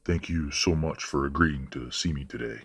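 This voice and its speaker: A man playing a stern, courteous, middle-aged werewolf father in an audio roleplay drama, speaking in a formal, measured, authoritative way. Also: rumbling low, creaky, slightly rough, exaggerated voice. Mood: civil, measured.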